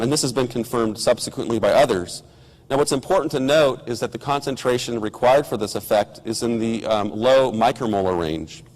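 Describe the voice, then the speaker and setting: A middle-aged man, hoarse from a cold, giving a measured technical science lecture with occasional ums and restarts. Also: medium pitch. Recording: lecture hall with long reverb.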